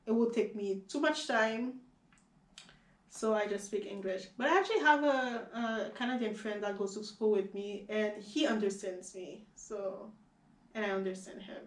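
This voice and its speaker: A young woman from Haiti with a Haitian accent, a Creole speaker, chatting as an interview guest, animated and full of fillers and restarts. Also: mid-range voice, normal speed, reverberant, far from the mic, rough recording. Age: young adult. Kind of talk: casual conversation.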